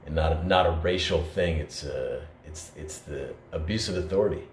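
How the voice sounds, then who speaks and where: A deep-voiced man talks things through in an earnest, halting way, full of fillers and restarts. His voice echoes around the room, with a faint hiss underneath. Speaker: podcast co-host. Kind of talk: reflective conversational talk.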